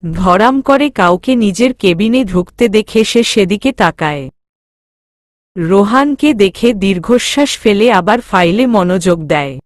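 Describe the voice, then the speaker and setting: A woman's voice: mid-range voice, expressive, a little slow, dry recording, clean studio sound. A woman reading scripted narration of a romantic drama story as a storyteller.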